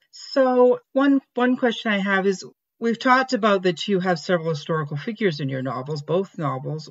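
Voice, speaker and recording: A woman's voice: fairly low pitch, a bit bright, highs cut off. A woman hosting a podcast interview, chatty and affirming as she talks. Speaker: podcast host. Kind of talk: interview conversation.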